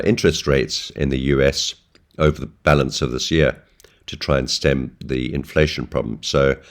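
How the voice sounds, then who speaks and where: A man with an extremely deep, low voice that is hoarse from a flu. He talks through a weekly trading update in a relaxed way, with plenty of ums and uhs. It sounds like he is recording a voice-over at a home desk. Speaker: forex trading analyst. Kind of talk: screen-share market update.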